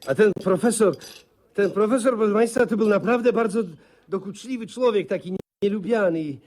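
An actor in a 1950s Polish radio comedy playing a naive husband in awe of an old schoolmate, gushing, stammering and repeating himself. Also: male voice, high voice, moderate pace, darkish tone, close to an everyday voice. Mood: eager, embarrassed. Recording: slightly muffled 1950s radio play recording.

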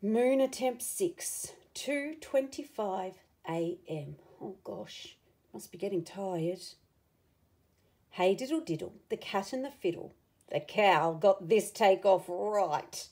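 A woman reading a picture book aloud to children, with expressive, dramatic emphasis and asides to the listener. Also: mid-range voice, takes it slowly.

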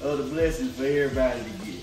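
A man's voice: medium pitch, rapid-fire. A middle-aged man chatting casually and playfully in colloquial speech as co-host of a family vlog, often repeating himself. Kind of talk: casual kitchen vlog chat. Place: home kitchen. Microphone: camera on tripod at a distance. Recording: roomy echo, distant mic, background music.

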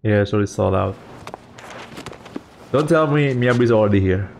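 A man's voice: on the low side, moderate pace, vocal fry, darkish tone, slight background noise. A man giving casual live reaction commentary, exclaiming and repeating his phrases. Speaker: reaction video host. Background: game trailer music.

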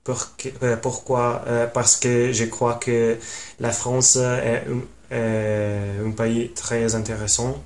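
A man in his twenties with an Italian accent, introducing himself in a learner's halting way with many pauses and fillers. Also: mid-range voice, flat delivery, darkish tone. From Italy. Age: 20s. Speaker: language learner. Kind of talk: casual self-introduction. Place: small room at home.

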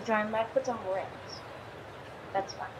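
A woman's voice: on the high side, steady tone, unhurried. A tired woman with a scratchy voice chatting casually through a racing game session. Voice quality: scratchy morning voice. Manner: chatty, thinks aloud, counts down launches. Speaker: gaming channel host. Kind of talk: casual gameplay commentary. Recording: loud car engine game audio behind. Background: racing game engine noise.

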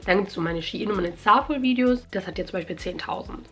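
A young woman talks to her camera from her bedroom, lively and chatty. Her voice is a bit nasal because she has a cold, and she is picked up by the camera's own mic at desk distance. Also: medium pitch, a little fast, faint music behind. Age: young adult. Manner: chatty, animated, exclaiming. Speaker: YouTuber. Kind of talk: casual vlog chat. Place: bedroom at home.

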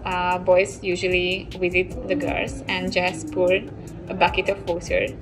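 A young woman from Hungary answering interview questions outdoors, slow and hesitant with many fillers. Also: on the low side, monotone, distant mic, poor audio. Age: young adult. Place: outdoor courtyard by glass building. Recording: music underneath.

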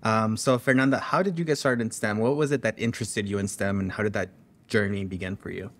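A young man hosting an interview from a studio couch. His voice is a touch low, and his manner is friendly and affirming as he asks open questions. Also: steady tone, quick pace, slight background noise. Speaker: host. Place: TV studio set, seated on couch.

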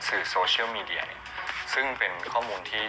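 A man's voice: on the low side, quick pace. A man answering interview questions as an expert, explaining steadily with frequent spoken fillers. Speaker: expert guest. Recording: loud music under the voice.

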